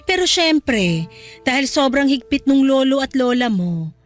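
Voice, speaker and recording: Worried, scolding middle-aged mother, Filipino accent, high voice, radio drama delivery over light music. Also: big pitch swings, measured pace. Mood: earnest concern. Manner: emotive, pleading and scolding. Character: exasperated, worried middle-aged mother of a college-age son.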